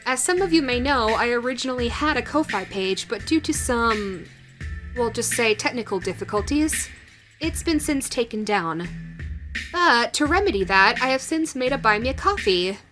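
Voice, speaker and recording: A woman's voice: fairly high pitch, animated, normal speed, music in the background. A woman who hosts an audio roleplay channel, talking casually and directly to her viewers.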